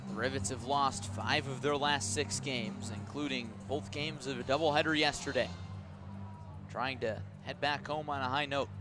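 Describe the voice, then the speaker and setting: A man doing live radio play-by-play of a baseball game, with a measured call that rises sharply on big plays. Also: medium pitch, steady tone, music in the background.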